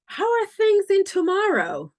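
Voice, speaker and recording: A woman from the United States hosting a podcast and interviewing a guest with enthusiasm. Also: very high voice. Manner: enthusiastic, lively, drawn-out phrasing. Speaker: podcast host.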